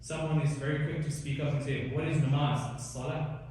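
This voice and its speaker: A man's voice: fairly high pitch, steady tone, a little fast, a little mellow, recorded from a distance, a little hiss or hum. Earnest man in an echoey hall. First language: Urdu. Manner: earnest, explanatory, repeats words while searching. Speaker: panelist imam. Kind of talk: panel talk. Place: large mosque hall.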